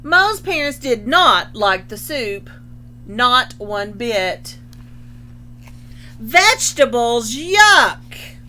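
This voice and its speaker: Bright, high-voiced woman reading a picture book. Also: extremely slow, pauses often, slight background noise. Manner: expressive, explains words simply. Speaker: storybook reader. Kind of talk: children's picture book read-aloud with explanatory asides.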